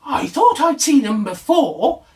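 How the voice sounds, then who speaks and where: A man's voice: extremely high pitch, very animated, measured pace, pitched above the performer's own voice, exaggerated voice. A man voicing an amiable, muddled bear in a children's storybook. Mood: matter-of-fact. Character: amiable, muddled male bear who bakes. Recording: voice distant from the mic.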